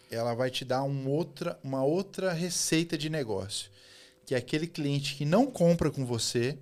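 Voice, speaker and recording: A man hosting a podcast, asking questions casually, teasing his guest and making a persuasive pitch to listeners. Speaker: podcast host.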